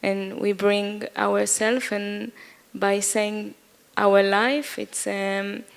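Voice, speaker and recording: A woman answers questions after a performance in slow, halting English. Her voice has a gravelly, creaky texture at a middle pitch. She gropes for words between phrases. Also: flat delivery. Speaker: performer in post-show talk. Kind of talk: post-performance discussion.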